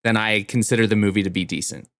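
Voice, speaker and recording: A man's voice: lowish voice, steady tone, fast, fairly bright tone, dry recording. A man co-hosting a podcast, chatting casually in an informal, slangy way. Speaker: podcast co-host. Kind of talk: casual chat.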